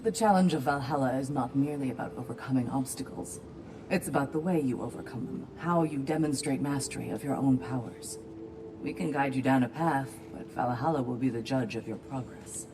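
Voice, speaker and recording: A woman playing a formal, commanding valkyrie leader in a video game, speaking with measured, instructive authority. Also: rumbling low, background noise. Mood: solemn, instructive. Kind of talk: dramatic video game acting.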